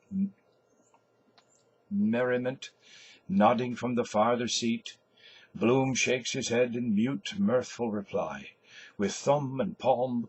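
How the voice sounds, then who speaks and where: An older man, an actor, giving a measured, deliberate dramatic reading of literary prose and stage directions. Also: lowish voice, husky. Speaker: actor reading a novel aloud. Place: small indoor space in front of a cloth backdrop.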